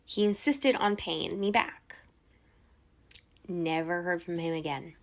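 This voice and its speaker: A woman's voice: lowish voice, slow pace. A woman playing an indignant, dramatic Hollywood socialite in an amateur radio play, with a theatrical, exasperated manner. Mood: indignant recounting.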